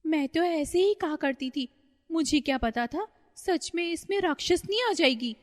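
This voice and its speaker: A woman's voice: lively intonation, unhurried, in a large hall. A woman voicing a fretful older mother-in-law who panics easily, in a cartoon story. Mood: worried. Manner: shrill, panicky.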